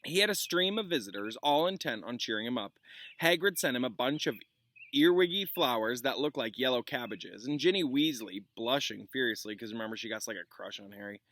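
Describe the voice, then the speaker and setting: A man's voice: mid-range voice, lively intonation, fairly quick, a bit bright. A man reading a storybook aloud as a classroom teacher, expressively, with informal asides for pupils. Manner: informal, explains words for pupils.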